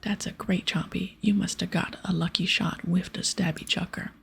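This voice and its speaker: A woman's voice: fairly low pitch, steady tone, moderate pace, gravelly, slightly rough, full character voice. A woman voicing a demanding, food-loving ogre from a fantasy game, whispering in childlike broken grammar. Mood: bossy, hungry. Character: gruff, demanding ogre who loves food. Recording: soft music behind.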